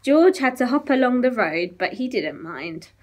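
A woman's voice: a little high, very animated, moderate pace. A woman, narrating a picture book expressively for preschoolers. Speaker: children's story reader. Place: small classroom, indoors.

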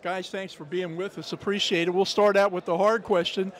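A man's voice: high voice, flat delivery, brisk. An older man interviewing players in a folksy, teasing way on a handheld mic. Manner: folksy, joking, teasing questions. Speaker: sports interviewer. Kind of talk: friendly sideline interview. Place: indoor media backdrop at a football event.

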